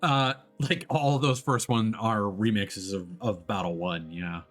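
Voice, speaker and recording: A man's voice: fairly low pitch, measured pace, fairly bright tone. A man doing casual live video game commentary, rambling and thinking aloud as he plays. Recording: game music behind the voice. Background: video game music.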